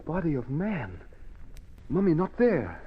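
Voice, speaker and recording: An actor playing a loyal, deferential manservant who speaks clipped broken English, in old-time radio drama style. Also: male voice, fairly high pitch, wildly swooping pitch, slow, heavily acted. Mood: alarmed. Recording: muffled, noisy old radio recording.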